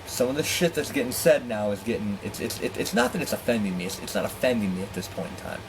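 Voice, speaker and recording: A man telling a personal story in a candid, profane, animated way, acting out dialogue with exasperated asides. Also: fairly low pitch, quick pace, noisy background. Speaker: storyteller and host.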